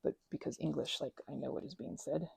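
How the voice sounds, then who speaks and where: A young woman chatting in a rambling, casual way while she works on a craft project, sometimes dropping into soft whispers. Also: low-pitched, steady tone, brisk, vocal fry, a little hiss or hum. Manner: chatty, rambling, drifts between soft whispers and louder asides. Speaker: ASMR creator.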